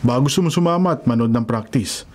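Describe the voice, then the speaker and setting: A man acts a devout Filipino carpenter and grandfather in a radio drama. He is kindly and hospitable, speaking earnestly in a medium-pitched voice. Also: lively intonation, fast, lightly acted. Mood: warm, hospitable.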